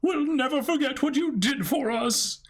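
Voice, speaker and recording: A man's voice: extremely high pitch, normal speed, pitched above the performer's own voice. A man voicing a gushing, lovestruck woman from a comic, effusive and flirty. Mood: gushing gratitude. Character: gushing, lovestruck woman grateful to her rescuers.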